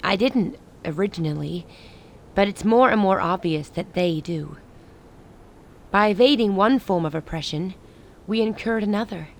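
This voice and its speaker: An actress playing a grave, resolute woman who leads a besieged rebel city in a radio play, speaking in measured, formal lines. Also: mid-range voice, lightly acted. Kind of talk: dramatic radio play dialogue.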